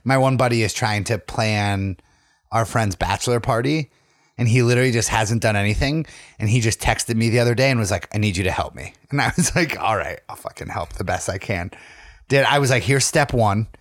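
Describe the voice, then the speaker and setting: A man's voice: lowish voice, a little fast, dry recording, studio quality. A young American man chatting casually as a podcast co-host, with a teasing, sweary, storytelling manner. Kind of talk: casual chat.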